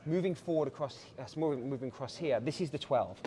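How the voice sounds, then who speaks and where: English man pitching watches. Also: a little fast, dark tone, slight background noise. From England. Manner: enthusiastic, polished salesman patter with frequent "uh" fillers. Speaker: brand director. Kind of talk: product walkthrough interview. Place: busy watch showroom event.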